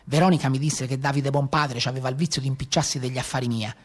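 A man with a Tuscan accent playing a rough peasant brigand of the Maremma in a theatrical storytelling monologue. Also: medium pitch, fairly even intonation, quick pace, close to an everyday voice. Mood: grim narrating. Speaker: stage actor. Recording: live guitar music behind.

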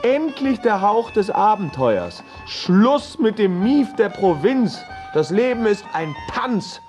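Ein Schauspieler spielt in einem Hörspiel einen verwirrten, ängstlichen Träumer, der theatralisch mit sich selbst spricht. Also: male voice, sits high, very animated, slow, a little mellow, faint music behind, heavily acted. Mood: bewildered, musing. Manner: theatrical, musing aloud. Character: bewildered, dramatic husband, dreamy and fearful. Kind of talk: radio drama monologue.

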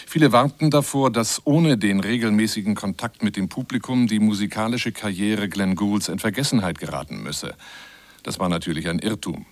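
A man reading a scripted radio essay in a measured, articulate and explanatory manner. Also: fairly low pitch, no room sound. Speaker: radio feature narrator. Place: radio studio.

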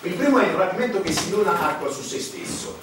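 A man's voice: high-pitched, lively intonation, quick pace, background noise. Sardonic stage storyteller played by a man, heavy echo. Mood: ironic, insinuating. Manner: emphatic, ironic, rhetorical. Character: sardonic insider narrating political scandal. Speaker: stage actor, narrator. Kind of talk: theatrical narration. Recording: echoey stage, distant mic, music behind.

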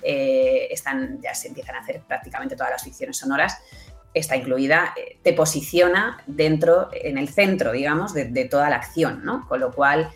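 A woman's voice: rumbling low, normal speed, gravelly, faint music behind. A woman with an accent from Spain speaking as an interview guest, explaining conversationally and often checking with short tag questions. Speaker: interview guest, audio fiction producer.

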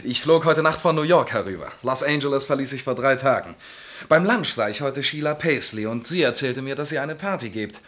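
An actor in a German radio play voicing a breezy, boastful film writer, chatty and exuberant. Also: male voice, medium pitch, animated, a little fast, a bit bright, natural voice. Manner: chatty, exuberant, laughing, name-dropping.

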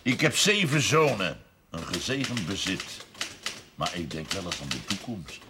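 A man's voice: low-pitched, big pitch swings, normal speed. A man playing a proud, hot-headed farmer with a folksy, roundabout, emphatic way of talking in a period television drama. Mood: careful, persuading. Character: proud, hot-headed farmer with seven sons, scheming neighbour. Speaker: actor in a television drama series. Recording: noisy old television soundtrack.

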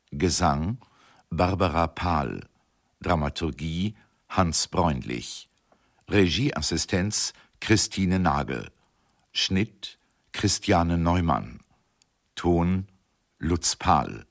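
Deep, slow male radio announcer. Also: expressive. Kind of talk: scripted credits announcement.

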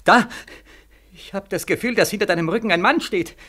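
A despairing, haunted young veteran in a 1940s radio play. The voice sits a little high and is tense and sharp. The delivery is anguished and halting, moving between hushed dread and frantic pleading. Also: male voice. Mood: fearful, feverish.

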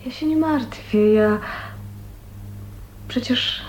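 An actress in a radio drama playing a gentle, dying young woman who confides hesitantly and earnestly. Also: fairly high pitch, drawn-out words. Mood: resigned sorrow. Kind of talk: 1980s radio drama acting. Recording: faint noise on radio recording.

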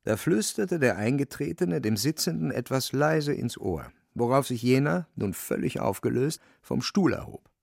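A man narrating a literary radio play as scripted storytelling, with a creaky voice. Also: medium pitch, expressive, moderate pace, hardly any pauses, a little mellow, dry recording, studio quality.

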